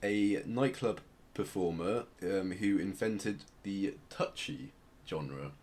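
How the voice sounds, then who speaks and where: A man hosting a podcast, explaining things casually in a hesitant, measured, dry way. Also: deep voice, animated, a little mellow. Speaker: podcast host presenting outsider music. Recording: clear background noise.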